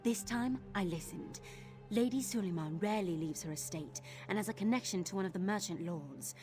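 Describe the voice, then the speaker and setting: A woman's voice: mid-range voice, brisk, a little mellow, natural voice. An actress voicing an earnest young woman thief searching for her missing father in a video game, confiding in tone. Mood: worried, determined. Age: young adult. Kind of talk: video game character dialogue. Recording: game music behind the voice.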